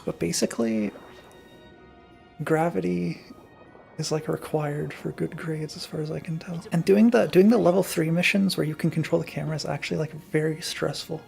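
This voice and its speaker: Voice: medium pitch, steady tone, moderate pace, vocal fry, a little mellow. A streamer giving casual, dry, joking game commentary with some swearing. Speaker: gaming streamer. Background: game music.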